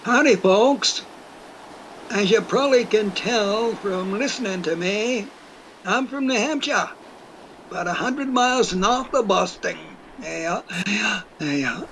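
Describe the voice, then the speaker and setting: A man acts out a high-pitched old New England yarn-spinner in his eighties, folksy, stubborn and fond of repeating himself. He takes his time over every phrase in this audio play, with some steady noise behind him. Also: slow pace, heavily acted, low-quality recording. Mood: folksy, rambling. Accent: rural New England. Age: 80s. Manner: rambling, repetitive, folksy dialect. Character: folksy, stubborn eighty-four-year-old New Hampshire whiskey drinker. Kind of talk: first-person audio play storytelling.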